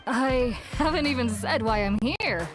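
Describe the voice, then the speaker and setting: A woman's voice: fairly high pitch, animated, measured pace. An actress playing a forgetful young woman villager in a video game, chatty and a little flustered. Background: game music.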